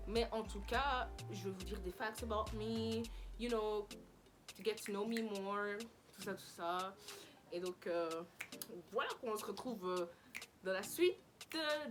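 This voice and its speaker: A teenage woman talks casually to camera, rambling and tossing English phrases into her sentences. Her voice sits at an ordinary middle pitch. Music plays clearly behind her. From Belgium. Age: teens. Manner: chatty, mixes in English phrases, many fillers. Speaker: vlogger. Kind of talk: casual chat.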